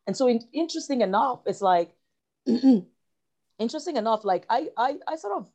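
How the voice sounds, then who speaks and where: A woman from Cameroon talking reflectively as an interview guest, halting and repeating phrases as she searches for her words. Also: on the high side, expressive, a little mellow. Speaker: interview guest, writer and actor.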